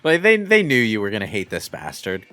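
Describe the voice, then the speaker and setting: Low-voiced man in casual game chat. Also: wildly swooping pitch, brisk, bright, forceful tone. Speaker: video game commentator. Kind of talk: casual conversational commentary.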